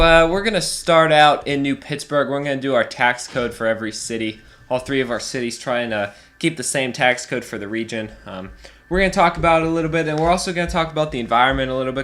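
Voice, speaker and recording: A man's voice: mid-range voice, fairly bright tone. Stuffed-up man chatting over gameplay. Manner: chatty, laughs often, thinks aloud. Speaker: let's play host. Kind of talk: casual gameplay commentary.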